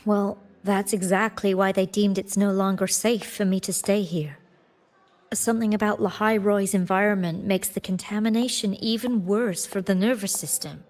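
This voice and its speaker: An actress playing a kind young graduate student in a video game, gently teasing and earnest, with slight hesitation. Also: female voice, mid-range voice, steady tone, moderate pace, close to an everyday voice. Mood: wistful, reassuring. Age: young adult.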